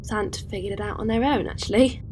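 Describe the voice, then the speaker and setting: A woman's voice: medium pitch, a little fast, noisy background, lightly acted. A woman playing a friendly, caring alien spacefarer in a science-fiction audio drama, talking in a casual, considerate way. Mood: concerned.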